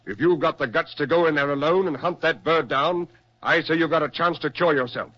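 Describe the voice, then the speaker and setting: An actor playing a stern, insistent doctor who presses and commands in a 1940s radio drama style. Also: male voice, a little high, brisk, close to an everyday voice. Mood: firm, persuasive. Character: stern, insistent male doctor pushing his frightened patient. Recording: dull, lo-fi 1940s radio recording.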